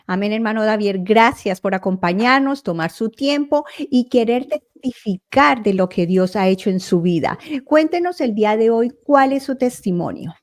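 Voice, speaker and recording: A woman's voice: a little high, expressive, normal speed, hardly any pauses. Woman hosting a church testimony show. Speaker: host.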